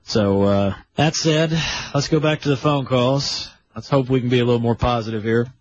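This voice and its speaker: A man's voice: medium pitch, moderate pace, a little hiss or hum. An American man hosting a live radio call-in show in a folksy, exasperated, scolding way. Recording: dull lo-fi radio broadcast.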